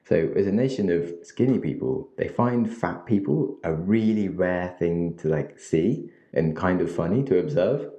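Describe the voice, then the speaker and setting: Man, podcast host, very deep voice, mellow, casual and blunt, echoey dull recording. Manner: casual, blunt, swears lightly. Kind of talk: solo recorded outro reflection.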